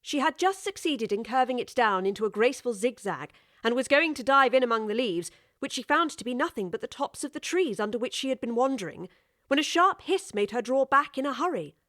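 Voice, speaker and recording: A woman reading a storybook as audiobook narrator, in a measured, expressive way. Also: a little high, dry recording, studio quality.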